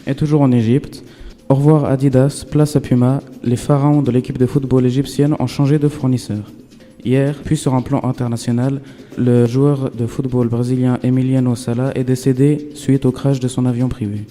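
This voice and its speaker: A man reads news items for a school radio show in a very dark, mellow voice. His delivery stays flat and even, like text read aloud, with faint music beneath. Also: medium pitch, moderate pace. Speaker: student news presenter.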